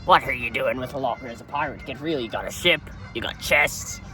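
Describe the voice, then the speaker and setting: A man's voice: mid-range voice, a little fast, bright, forceful tone, faint music behind, close to an everyday voice. A man doing a grubby, swaggering pirate. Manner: pirate slang, keeps saying "matey"; rambling, offhand rants. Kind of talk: comic character monologue.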